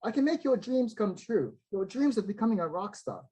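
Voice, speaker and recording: A man doing a hushed British rock star. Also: sits high, very animated, breathy edge, voice pushed up, full character voice. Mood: urgent hushed. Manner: hurried, hushed, insistent.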